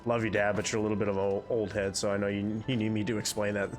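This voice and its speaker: Brief: young male gaming host, low-ish voice, congested, rambling casual chat, music under. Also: flat delivery, fast talker. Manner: chatty, rambling, candid. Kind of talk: casual chat during a game playthrough.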